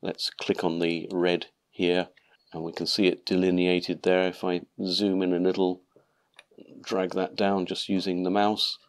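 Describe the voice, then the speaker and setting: A man narrating a calm step-by-step tutorial, sounding nasal from a cold. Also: sits low, unhurried. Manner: calm, methodical explaining. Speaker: maker channel host.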